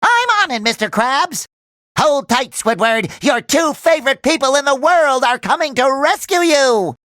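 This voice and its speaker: A man voicing a cheerful, naive young talking sponge in a cartoon video game, with a squeaky, nasal, exaggerated delivery. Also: lively intonation, measured pace, sharp tone, dry recording, clean studio sound, exaggerated voice. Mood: eager. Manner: exaggerated, earnest, eager delivery.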